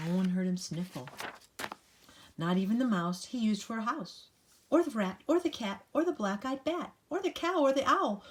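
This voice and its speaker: A woman's voice: mid-range voice, a little hiss or hum. An older woman, a grandmother, reads a picture book aloud to young children in a playful sing-song way, adding chatty asides to the listeners. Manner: playful, sing-song listing with dramatic emphasis; talks directly to young listeners.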